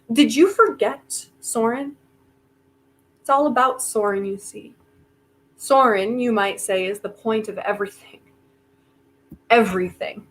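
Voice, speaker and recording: A woman's voice: a little high, rough recording. A woman in a staged play reading, playing an arrogant, defiant inventor with a sardonic, theatrical manner. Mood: taunting, grandiose. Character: arrogant, brilliant, defiant inventor bargaining with Death.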